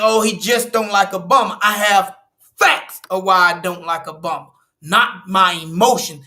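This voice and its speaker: A man's voice: high-pitched, bright, forceful tone. A man from the United States, a political commentator walking through an article, emphatic and repetitive, stressing numbers and restarting phrases.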